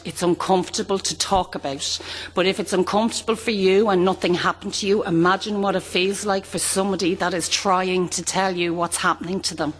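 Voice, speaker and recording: A woman giving impassioned public testimony as a panel speaker. She speaks emphatically, with repeated phrases and rhetorical questions. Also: lowish voice. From Ireland. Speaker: panel speaker, abuse survivor. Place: large conference hall. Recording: long hall reverb.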